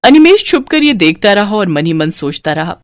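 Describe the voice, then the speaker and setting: A woman narrates a moral bedtime tale as a scripted storyteller. Her mid-pitched voice is lively and varied, recorded very dry. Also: moderate pace, no room sound.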